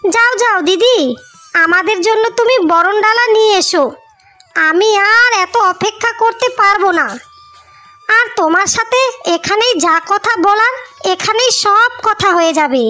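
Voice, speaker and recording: A woman's voice: very high voice, measured pace, sharp tone, heavily acted. A woman voicing a selfish, insolent newlywed younger sister in a cartoon fairy tale, scolding and haughty. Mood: haughty, impatient. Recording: music behind the voice.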